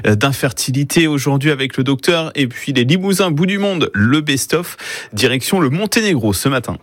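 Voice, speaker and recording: A man's voice: medium pitch, animated, moderate pace, no echo, studio quality. A man hosting a local morning radio show, talking conversationally and asking the guest questions with some hesitation. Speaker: radio host.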